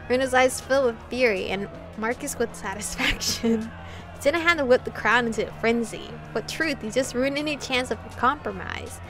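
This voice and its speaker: A woman hosting a visual novel playthrough, reading game text aloud with expressive, casual reactions and casual swearing. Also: fairly high pitch, normal speed, music in the background.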